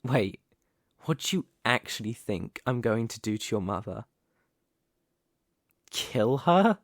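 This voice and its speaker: Casting: ambitious young mafia boss played by a man, mid-pitched, theatrical and possessive, measured pace, for an ASMR audio drama. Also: very animated, no echo, clean studio sound, full character voice. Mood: cold, calculating. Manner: theatrical, deliberate, condescending, with pointed pauses. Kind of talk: ASMR roleplay, dramatic monologue to the listener.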